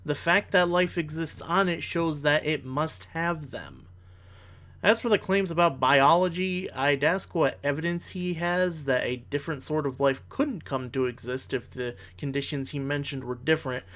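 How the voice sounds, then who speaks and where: A man's voice: on the high side, normal speed, a bit bright. A man delivering scripted rebuttal commentary in formal wording, with an analytical and bluntly critical manner. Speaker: commentator, video host.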